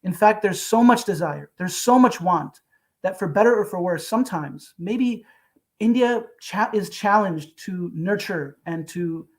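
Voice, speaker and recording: A young man, a co-author on a panel, who answers questions in a measured and reflective way. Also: high voice. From United States. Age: young adult. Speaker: co-author, guest.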